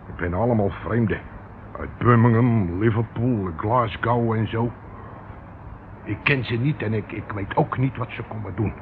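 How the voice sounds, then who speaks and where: An actor playing a shifty, hesitant small-time crook with colloquial speech in a Dutch radio play, on a muffled, noisy old recording. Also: male voice, lowish voice, a little slow. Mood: furtive warning.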